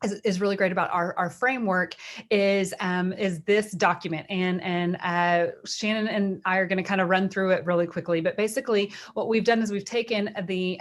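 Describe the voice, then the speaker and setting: A woman with a Texan accent presenting in a webinar over a video call, chatty and full of fillers and restarts. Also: mid-range voice. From Texas. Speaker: instructional leadership spokesperson.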